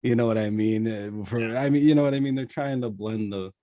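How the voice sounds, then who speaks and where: A man's voice: lowish voice, a little fast, warm tone. A man co-hosting a podcast in casual conversation. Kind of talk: casual chat.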